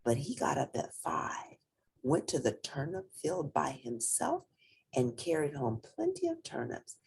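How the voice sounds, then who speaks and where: A woman reading a children's story aloud expressively, with drawn-out emphasis and playful asides. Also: deep voice, wildly swooping pitch, creaky, darkish tone, husky, whispered.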